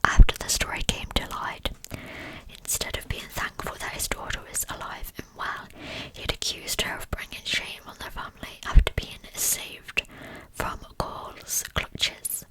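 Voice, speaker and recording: A woman narrating a scripted true-crime account as ASMR in a breathy pure whisper, with soft, deliberate, unhurried phrasing. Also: low-quality recording.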